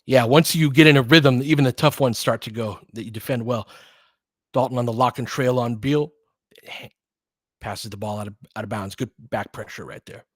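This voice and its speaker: A man's voice: mid-range voice, moderate pace, no echo, studio quality. A man giving informal live basketball play-by-play with bits of analysis, in clipped, slangy, conversational phrases as a partisan fan. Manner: partisan fan of one team.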